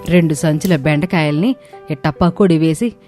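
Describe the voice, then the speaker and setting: Casting: female narrator as scolding older mother-in-law, demanding, low register, moral-story drama, music underneath. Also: normal speed, mellow, close to an everyday voice. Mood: angry, incredulous. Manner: scolding, questioning.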